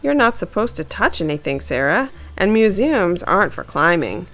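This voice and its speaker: A woman's voice: lowish voice, very animated, normal speed, a bit bright, soft background music. A woman voicing a careful, sensible young male mouse in a children's storybook. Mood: cautious.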